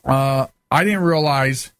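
A man's voice: medium pitch, slow, fairly bright tone, clear, resonant tone, dry recording, clean studio sound. A man hosting a show in casual, conversational interview style. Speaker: show host.

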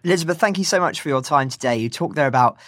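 A man's voice: on the high side, animated, very fast, a bit bright, no echo, clean studio sound. A man hosting an interview podcast, putting questions in a polite, articulate and fairly formal way.

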